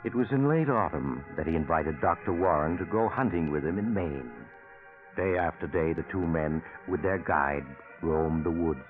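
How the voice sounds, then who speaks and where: An actor playing a grave, measured storyteller, narrating a scripted 1940s radio mystery. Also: male voice, a bass voice, animated. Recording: muffled vintage radio with music underneath. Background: loud background music.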